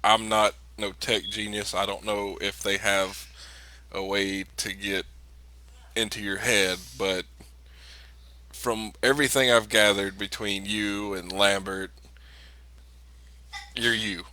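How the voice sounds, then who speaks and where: Low, sharply bright man, matter-of-fact. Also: little pitch movement, slow, pauses often. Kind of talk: improvised in-character role-play.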